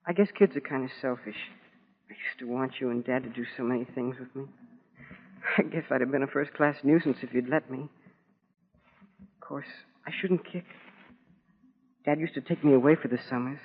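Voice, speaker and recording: An actor playing a wistful, proud military school boy in an earnest, halting monologue to his mother, in 1940s radio drama style. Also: medium pitch, fairly even intonation, close to an everyday voice. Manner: plain boyish colloquial talk, earnest and halting. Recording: scratchy, narrow-band 1940s radio recording.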